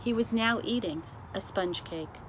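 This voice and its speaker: A woman narrates a children's storybook in an expressive read-aloud style. Her pitch is average, and there is faint noise in the recording. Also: a little slow, rough recording. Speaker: storybook reader.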